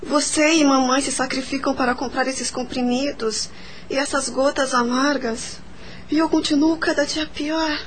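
A woman's voice: high-pitched, fairly even intonation, normal speed, a little hiss or hum, lightly acted. An actress playing a frail, melancholic young woman in a radio drama, mournful, sighing and resigned to dying. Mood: bitter resignation.

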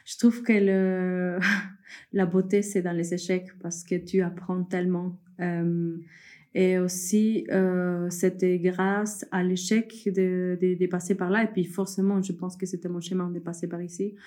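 A young woman from Peru answers interview questions in a relaxed, conversational way. Her voice is mellow and fairly low, and she pauses often with fillers and restarts as she works through her thoughts. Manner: hesitant, many fillers and restarts, laughs often. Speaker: guest, entrepreneur.

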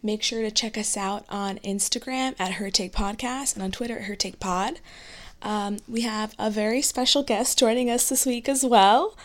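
Twenty-something woman cohost, voice going hoarse, chatty, kinda high pitched. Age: 20s. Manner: chatty, apologetic, quick asides. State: losing her voice. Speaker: podcast co-host. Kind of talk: casual podcast chat.

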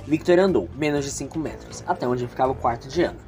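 A man's voice: mid-range voice, fast, a little mellow, faint music behind. A man narrating a story as the storyteller of an amateur audio drama.

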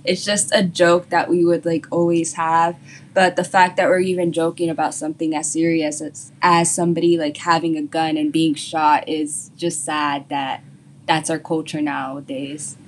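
A woman's voice: deep voice, flat delivery, moderate pace. A young woman from the Bronx, talking casually as a panel guest with lots of fillers. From the Bronx, New York City. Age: young adult. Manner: chatty, many likes. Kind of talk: casual discussion.

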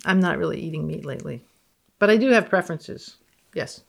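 A woman's voice: sits low, lively intonation, a little fast, vocal fry. A woman physicist appearing as a podcast guest, reading research aloud and chatting in a dry, matter-of-fact way. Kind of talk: dramatic reading and banter on a podcast.

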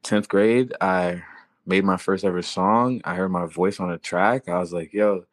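A young man, originally from Florida, tells his story as a podcast guest. His voice sits low and he talks in a relaxed, slangy way. The recording is close and dry. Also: unhurried, dry recording. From Florida, born in Haiti. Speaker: guest rapper and songwriter. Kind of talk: casual podcast interview.

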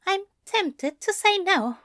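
A woman's voice: very high-pitched, lively intonation, slow, sharp tone, no room sound, studio quality, full character voice. A woman playing a fussy, indignant, reluctant male exercise pupil in an audio fiction reading, with a prim, protesting manner. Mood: grumbling.

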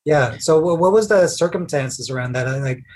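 A man's voice: a little high, moderate pace, a little mellow. A man hosting a podcast, reading the intro and asking rambling questions with restarts. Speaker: podcast host.

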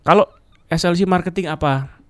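A man's voice: fairly high pitch, brisk, dry recording. Man explaining marketing on radio. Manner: lively explaining, sprinkles English terms, frequent "ya" check-ins. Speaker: marketing expert guest. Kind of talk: radio talk show chat. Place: radio studio. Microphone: close studio mic.

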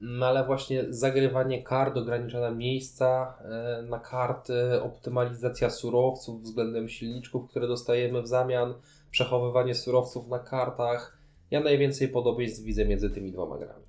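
A man co-hosting a casual board game podcast, talking in an analytical, measured way with wordy phrasing. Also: mid-range voice, flat delivery, a little mellow. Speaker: podcast co-host. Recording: roomy, echoing room sound.

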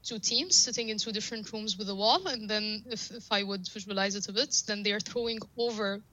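Bright-voiced woman, native Arabic speaker. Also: mid-range voice, brisk, dull audio. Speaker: guest, data science professional. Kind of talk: explanatory interview talk.

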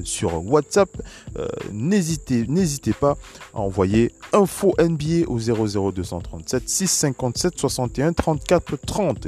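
A man hosting a solo basketball news podcast, talking casually with many fillers and restarts. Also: medium pitch. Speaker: podcast host. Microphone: voice message recording.

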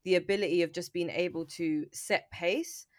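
A woman's voice: on the low side, fairly even intonation, normal speed, a little mellow, no echo, studio quality. A woman co-hosting a podcast, speaking in an encouraging, slangy way. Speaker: podcast co-host. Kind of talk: casual interview chat.

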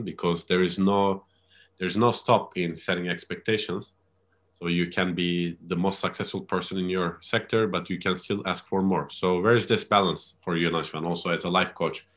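A man hosting an interview, speaking in a polite, measured and reflective manner. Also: deep voice, fairly bright tone. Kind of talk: hosted interview.

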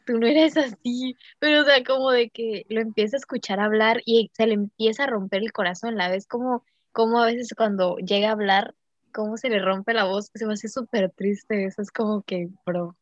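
A woman's voice: fairly high pitch, steady tone, moderate pace, dry recording. A young woman with a Mexican accent co-hosting a podcast in casual, slang-filled conversation. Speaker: podcast co-host. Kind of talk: casual chat recapping a TV series. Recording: slightly dull, dry lo-fi sound.

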